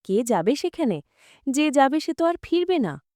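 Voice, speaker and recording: A woman's voice: high voice, very animated, normal speed, a little mellow, dry recording, studio quality, voice pushed up. A woman voicing a worried male bear doctor in a cartoon story, grave, dramatic and foreboding.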